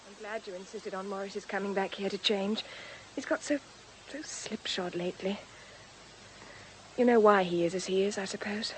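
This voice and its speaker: A woman's voice: medium pitch, steady tone, moderate pace, pauses often, close to an everyday voice. Actress as an earnest, anxious young woman, faint noise. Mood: anxious, confiding. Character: anxious young woman protecting her troubled lover. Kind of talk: radio drama acting.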